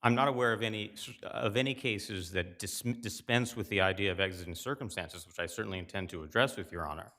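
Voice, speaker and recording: A man's voice: fairly low pitch, quick pace, hardly any pauses, bright, forceful tone. A man representing an appellant, formally addressing the judges in a courtroom at a podium mic. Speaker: appellate attorney. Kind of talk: oral argument.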